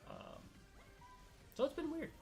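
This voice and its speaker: A man's voice: very high voice, huge pitch swings, a little slow, vocal fry, darkish tone, faint music behind. A young man from Miami chatting casually as a co-host, rambling with lots of filler words and restarts. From Miami, United States. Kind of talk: casual chat.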